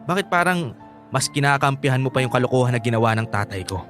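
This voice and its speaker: A man's voice: medium pitch, expressive, fast, fairly bright tone, faint music behind, natural voice. A man playing a hurt, angry young son and boyfriend in a Filipino radio drama, stammering when upset. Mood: angry, confronting.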